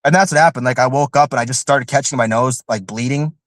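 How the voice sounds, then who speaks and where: A man on a podcast talks in long, meandering runs, packed with filler words and restarts. His voice has a faint nasal tint from a recent illness, and his pitch sits in a middle range. The sound is dry and close, with no room echo. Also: fast talker, a bit bright. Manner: rambling, heavy on filler "like". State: recovering from illness, slightly nasal. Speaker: returning co-host. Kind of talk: casual podcast chat.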